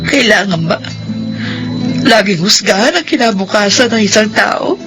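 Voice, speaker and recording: A woman's voice: high-pitched, poor audio. An actress playing an anguished middle-aged Filipino mother in a radio drama, with an emotive, pleading delivery. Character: guilt-ridden, anguished mother of grown children. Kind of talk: Tagalog radio drama acting. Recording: music under the voice.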